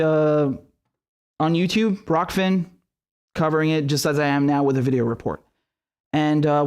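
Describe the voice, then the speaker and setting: Young guy ranting on his livestream, swears a lot, voice sits kinda high. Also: a little mellow. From Syria. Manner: passionate ranting, swearing, quick asides. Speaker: independent political commentator. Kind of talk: live-streamed commentary.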